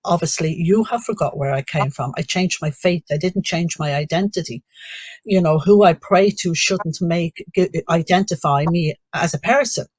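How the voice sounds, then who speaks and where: A middle-aged woman with a Dublin accent, speaking earnestly as a guest on a live community discussion, with frequent pauses. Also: on the low side, darkish tone, breathy edge, no room sound. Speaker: panel guest.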